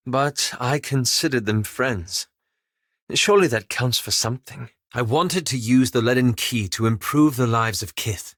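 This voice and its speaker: A man playing a polite, anxious, scholarly elven wizard in a video game, speaking with formal, measured, courteous diction. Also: medium pitch, fairly bright tone, dry recording, clean studio sound. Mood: regretful, earnest. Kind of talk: video game character dialogue.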